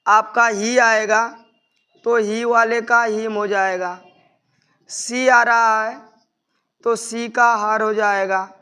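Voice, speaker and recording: A male teacher delivers an explanatory lesson in a bright, forward voice. His speech is slow and very clean in tone. He goes through each point methodically, restating it as he goes. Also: sits high, smooth. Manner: methodical, repetitive step-by-step explaining. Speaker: English grammar teacher. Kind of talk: explanatory classroom-style lesson.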